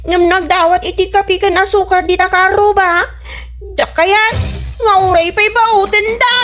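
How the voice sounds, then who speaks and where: A young daughter in a radio comedy drama. Her voice is very high and whiny, and she protests reluctantly at being bossed around. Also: fairly even intonation, moderate pace, a bit bright, soft background music. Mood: whiny, embarrassed.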